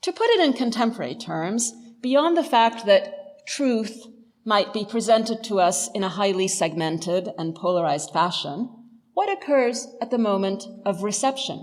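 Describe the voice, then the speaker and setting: A woman giving a scripted lecture narration in a measured, articulate, emphatic manner. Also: mid-range voice, animated, big-room sound.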